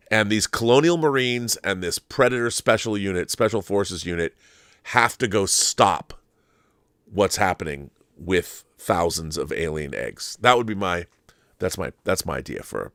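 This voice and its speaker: A man's voice: lowish voice, a bit bright, no echo, clean studio sound. A middle-aged man hosting a pop culture show, talking casually and with opinions, rambling and repeating words for emphasis. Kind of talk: casual opinionated monologue answering viewer questions.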